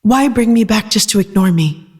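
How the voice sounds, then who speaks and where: A man's voice: sits high, lively intonation, normal speed, a little mellow, slightly rough, voice pushed up, exaggerated voice. A man voicing a defiant young businesswoman. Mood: pleading defiance. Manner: assertive, challenging. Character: defiant young female junior associate, sharp and bold. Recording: long reverb, music behind.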